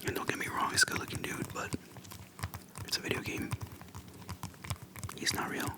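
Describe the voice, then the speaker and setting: Soft whispering ASMR host. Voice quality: soft, breathy unvoiced whisper. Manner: slow, hushed, pausing between short phrases. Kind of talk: whispered ASMR, relaxed personal chat. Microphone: close microphone for ASMR. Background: tapping on a plastic case.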